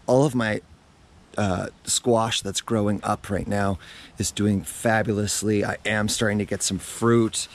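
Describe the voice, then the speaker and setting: A man in his thirties, hoarse after losing his voice, hosting a relaxed garden tour outdoors with a lapel mic, talking casually with lots of fillers. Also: on the low side. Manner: chatty, relaxed, with many fillers. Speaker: gardening channel host.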